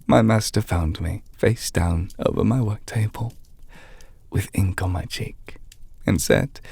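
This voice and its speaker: A very breathy, half-whispered male voice with a creaky edge, recorded close and dry. A man plays a gentle magic teacher in an ASMR roleplay. He speaks in measured, slightly formal phrases, patient and reassuring toward his apprentice. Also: fairly low pitch, no echo, heavily acted. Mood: quiet, wistful. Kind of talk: ASMR roleplay, softly spoken to the listener. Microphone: close mic, dry.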